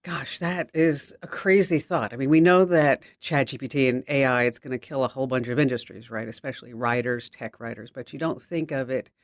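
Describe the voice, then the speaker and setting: A man's voice: medium pitch, fairly quick, few pauses, no echo, studio quality. Enthusiastic chatty male host. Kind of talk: conversational show hosting.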